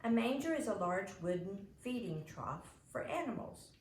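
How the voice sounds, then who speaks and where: A woman reads a children's story aloud in an animated, expressive storyteller's style. Her pace is unhurried. The home recording is roomy, with faint background noise. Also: mid-range voice. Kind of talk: expressive children's audiobook narration.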